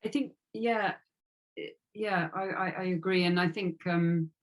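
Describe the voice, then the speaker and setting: A woman with a low voice speaks as a guest in an interview. She is reflective and halting, circling back over words as she searches for the next thought. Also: fairly even intonation. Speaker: interviewed author.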